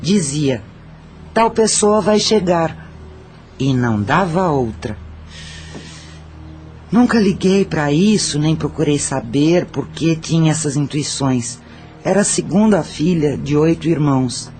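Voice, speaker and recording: Man playing slow, higher-pitched burdened madam. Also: animated, a little mellow. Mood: burdened, reflective. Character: murdered brothel madam with intuitions, burdened, confessional. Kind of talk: audio drama monologue. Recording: music under the voice.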